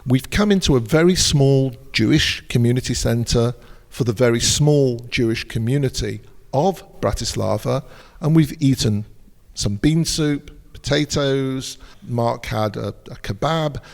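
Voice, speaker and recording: A man's voice: mid-range voice, a little slow, vocal fry, big-room sound. A man hosting a travel podcast, chatty and inquisitive as he asks questions. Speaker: podcast host.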